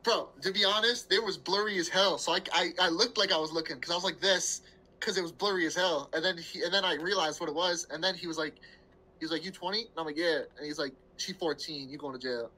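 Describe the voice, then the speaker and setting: A man's voice: fairly high pitch, fast talker, pushed and bright, poor audio. A man telling a casual anecdote over a video chat. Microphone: video call.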